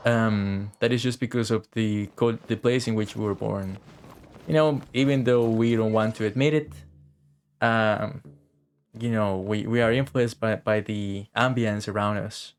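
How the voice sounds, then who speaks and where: A man's voice: fairly low pitch, steady tone, measured pace. A young man doing casual livestream commentary over a video game, rambling and thinking aloud. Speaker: game streamer. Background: game music.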